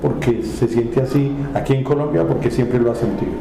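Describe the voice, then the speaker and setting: A man's voice: mid-range voice, a little fast, creaky, reverberant, noisy background. A man hosting a TV interview who asks polite, formal questions. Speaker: TV interview host.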